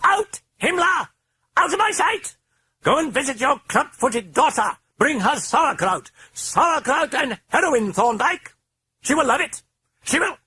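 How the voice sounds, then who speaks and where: Shrieking British-accented spirit. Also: male voice, very high-pitched, very animated, unhurried, sharp tone, no room sound, voice pushed up, exaggerated voice. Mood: raging. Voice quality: hoarse, shrieking, foul-mouthed. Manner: raving, then mock-cheerful and theatrical.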